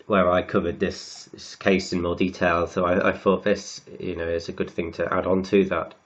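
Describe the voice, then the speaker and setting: A man with a cold, croaky and sniffly, working slowly through textbook maths and often repeating words as he writes. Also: deep voice, flat delivery, muffled recording. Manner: halting, repeats words while writing. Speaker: maths tutor.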